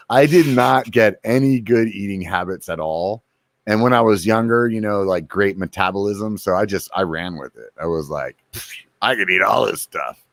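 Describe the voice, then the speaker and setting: A man in his forties chats on his podcast, joking as he acts out his snack cravings in quick quoted asides. His voice sits low and gravelly. The sound is dry and close, with no room to it. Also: no echo. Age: 40s. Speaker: podcast host. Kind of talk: casual chat.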